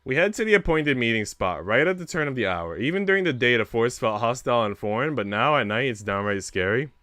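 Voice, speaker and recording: A man's voice: mid-range voice, lively intonation, fast talker, sharp tone, no echo. A young man hosting a livestream, speaking informally into a close mic. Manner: slangy, swearing, informal. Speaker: livestream host. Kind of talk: casual livestream chat.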